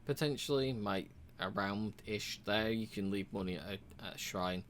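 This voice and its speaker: A man casually walking through a game's achievements, relaxed and rambling, with frequent ums and uhs. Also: lowish voice, normal speed, a little hiss or hum. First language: English. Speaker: game reviewer.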